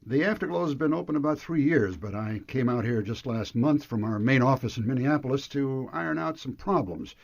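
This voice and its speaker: An actor playing an anxious, earnest and formal retirement home director in a radio drama. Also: male voice, medium pitch, animated, brisk, gravelly, few pauses, no echo. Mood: earnest, worried. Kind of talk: radio detective drama acting. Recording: dull lo-fi radio broadcast.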